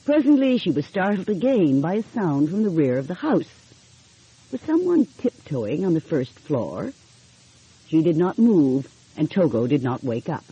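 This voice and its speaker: A woman narrating an audiobook in an expressive storytelling style. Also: low-pitched, unhurried, husky. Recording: dull, lo-fi audio.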